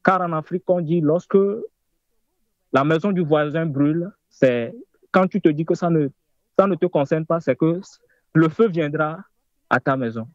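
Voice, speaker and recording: A man's voice: fairly high pitch, normal speed, no room sound, highs cut off. A man in his thirties calling in to a political talk show, speaking with insistent, emphatic repetition. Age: 30s. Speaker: caller. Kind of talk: impassioned political call-in.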